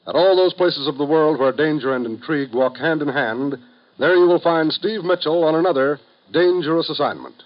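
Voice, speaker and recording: A man announcing a 1950s radio broadcast in a measured, earnest, formal manner. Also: a little high, lo-fi sound.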